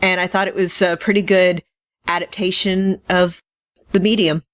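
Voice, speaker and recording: A woman's voice: lowish voice, flat delivery, normal speed, no room sound, clean studio sound. A woman co-hosting a podcast in casual conversation. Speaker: podcast co-host. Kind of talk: casual chat.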